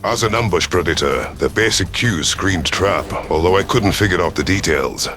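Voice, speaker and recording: Deep, gruff reptilian predator commander, acted by a man. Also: normal speed, music in the background. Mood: grave narration. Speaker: narrator in character. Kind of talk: first-person scripted narration.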